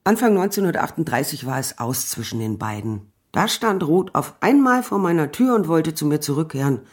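A resolute, wounded woman, looks back on a lover in a radio drama monologue. Her voice is notably husky and rough, and her telling is emphatic. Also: deep voice, very animated, brisk, exaggerated voice. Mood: hurt, firm. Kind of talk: documentary radio play monologue.

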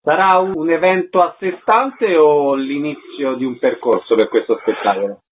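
Radio presenter, man, lightly raised pitch, brief interview questions, a little lo-fi. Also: moderate pace, slight background noise.

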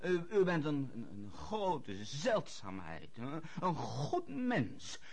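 Jovial, swooping French army captain. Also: male voice, mid-range voice, huge pitch swings, darkish tone, heavily acted. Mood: moved, warm. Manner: expansive, halting, full of little interjections like "hm" and "ah". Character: jovial, worldly French army captain, warm to his enemy. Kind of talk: radio drama acting.

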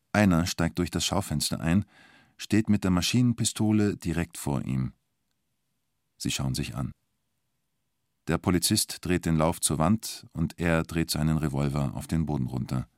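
A man's voice: low-pitched, a little mellow, dry recording, clean studio sound. A man playing the detached narrator of a true-crime radio drama, speaking in terse, matter-of-fact sentences.

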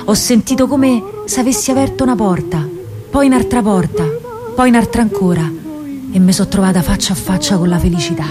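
An actress playing a spirited young partisan woman with a Roman accent, telling her story in an expressive, colloquial radio monologue. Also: medium pitch, brisk, natural voice. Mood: enamored, wistful. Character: young female partisan courier, lovestruck and spirited. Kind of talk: theatrical radio monologue, dramatic first-person storytelling.

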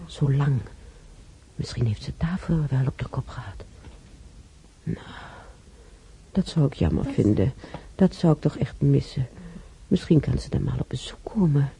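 An old woman with a creaky, very dark voice rambles wistfully and repeats herself. Her pitch swings in a lively way as she muses aloud in a slightly noisy radio play recording. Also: measured pace, pauses often, full character voice. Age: older. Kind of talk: radio drama monologue and dialogue.

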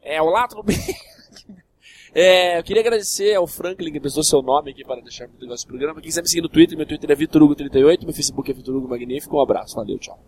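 Brazilian man, fairly high voice, casual joking podcast chat, faint background noise. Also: racing, darkish tone. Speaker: comedy podcast panelist.

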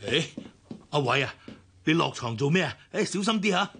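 An actor playing a kindly, anxious older uncle in a Cantonese radio drama, soothing and insistent, with a Hong Kong accent. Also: male voice, fairly high pitch, animated, normal speed, fairly bright tone, slight background noise. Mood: fussing, kindly. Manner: soothing, fatherly, hesitant.

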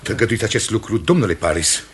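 An actor playing a stern, authoritative presiding judge in a radio drama, commanding, formal and probing. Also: male voice, on the low side, sing-song, husky, full character voice. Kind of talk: theatrical radio drama acting. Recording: noisy old radio drama recording.

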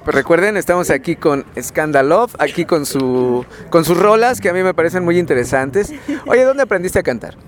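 A man hosting a radio interview, asking questions in a halting, searching way. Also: on the high side, animated, over music. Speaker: radio host.

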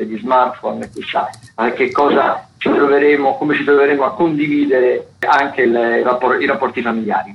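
A man giving theatre listings on the radio in a conversational, halting way, often repeating and correcting himself. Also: medium pitch. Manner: halting, frequent repetitions and self-corrections. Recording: dull lo-fi sound, clear background noise.

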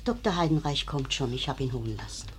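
A woman's voice: very deep voice, expressive, quick pace, slight background noise. An actress in a radio play playing a concerned, motherly housewife who speaks earnestly and plainly. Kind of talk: German radio play acting.

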